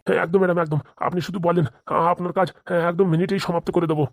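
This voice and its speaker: A woman acts out a grovelling cartoon officer who trips over words to please a superior. The voice sits slightly low and rattles along extremely fast, with stammers. It is recorded dry. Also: steady tone, dry recording, lightly acted. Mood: nervous, pleading. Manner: stammering, obsequious. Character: flustered, grovelling underling officer in a comedy cartoon. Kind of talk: Bengali comedy cartoon dialogue.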